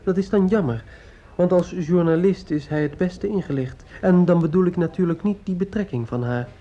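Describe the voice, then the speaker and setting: An actor playing an earnest, bitter young student in a Dutch radio drama, speaking formally and pointedly. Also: male voice, sits high, moderate pace, mellow, lightly acted. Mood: stoic, wounded. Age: young adult. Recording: dull, muffled old radio recording.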